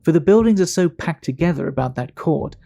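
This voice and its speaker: A man playing a worldly, talkative Victorian English gentleman in an audio drama, speaking in a measured, gossipy, fastidious way. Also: fairly high pitch, expressive, creaky, slight background noise. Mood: measured, confiding.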